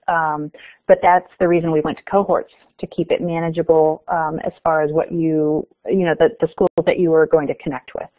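A woman's voice: deep voice, flat delivery, moderate pace. A woman co-presenting at an online conference, answering a chat question in a conversational, slightly hesitant way over a video call. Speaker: keynote co-presenter. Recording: tinny phone-like online call audio.

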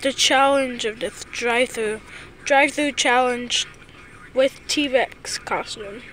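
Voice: medium pitch, slow pace, sharp tone, slight background noise, rough recording. Someone chatting casually and playfully to camera, often repeating phrases, recorded on a phone inside a car. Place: inside a car, back seat.

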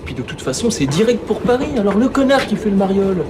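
A man's voice: high-pitched, very animated, fast, recorded from a distance. A man playing a brash, bossy, foul-mouthed guy in a naturalistic radio drama, full of slang. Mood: irritated, bossy. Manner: slangy, swearing, colloquial. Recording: noisy train ambience, off mic.

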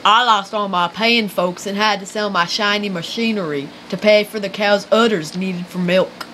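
A woman's voice: mid-range voice, moderate pace, pushed and bright, slight background noise, natural voice, poor audio. A woman playing a scrappy country hick farmer who rides the rails, talking in folksy, ungrammatical slang in an amateur radio skit. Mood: folksy, cocky.

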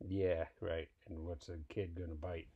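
A man's voice: low voice, flat delivery, normal speed, highs cut off, slight background noise. A man reading a picture book aloud to a small child, encouraging and prompting with questions.

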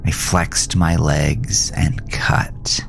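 Very deep, gravelly male horror narrator. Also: little pitch movement, extremely slow, in a whisper, faint music behind. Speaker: host and author reading own horror story. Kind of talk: scripted first-person horror narration.

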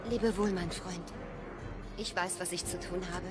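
A woman's voice: fairly low pitch, fairly even intonation, measured pace, close to an everyday voice. A woman playing a resolute, self-sacrificing heroine in a fantasy radio drama, solemn and steadfast. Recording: music under the voice.

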